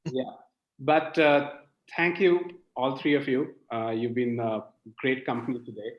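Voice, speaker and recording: A man hosts a live online chat, asking questions in an easy, light-hearted way. His voice sounds roomy and a little muffled through lo-fi call audio, with an ordinary middle pitch. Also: steady tone, a little slow. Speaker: host. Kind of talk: hosting a live online interview. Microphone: video call.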